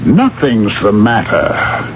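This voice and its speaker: Troubled, terse chief engineer for a vintage radio play; low-pitched, hissy muffled sound. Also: male voice, huge pitch swings, heavily acted. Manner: halting, hesitant, terse. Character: worried, stubborn middle-aged male engineer boss. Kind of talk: 1970s radio drama acting. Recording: muffled old radio broadcast with hiss.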